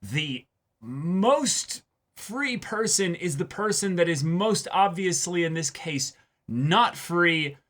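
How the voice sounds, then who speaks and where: A man co-hosts a casual reaction discussion in a crisp, bright voice that sits a little high. He talks haltingly, doubling back and repeating phrases as he works through his commentary. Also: animated. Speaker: co-host of an anime reaction show.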